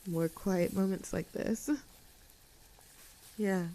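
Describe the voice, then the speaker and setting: A woman's voice: lowish voice, steady tone, slow pace, mellow, rough recording. A woman voicing a cheerful, easygoing young tomboy friend in an intimate roleplay audio drama, talking in a casual, chatty and affectionate way. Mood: playful, earnest.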